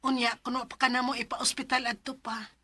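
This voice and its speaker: A woman acting an earnest, caring adult daughter in a radio drama, emphatic and expressive. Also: on the high side, brisk, slightly rough. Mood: reassuring.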